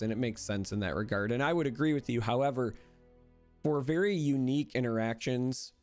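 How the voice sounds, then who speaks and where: A man's voice: medium pitch, a little mellow, no room sound. A young man losing his voice, casually reviewing a new game card with jokes and enthusiasm. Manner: enthusiastic, joking, explanatory. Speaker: card game content creator.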